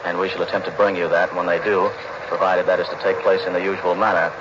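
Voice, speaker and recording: A man's voice: low voice, level tone, fast. A man with an American accent announcing live on 1940s radio news. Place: live at the House chamber. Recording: noisy muffled 1940s radio recording.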